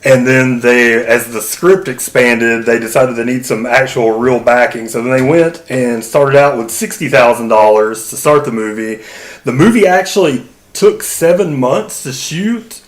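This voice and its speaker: A man's voice: mid-range voice, a little slow, reverberant. A man co-hosting a casual podcast, sharing research and fun facts with laughs. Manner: shares trivia with laughs. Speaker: podcast co-host.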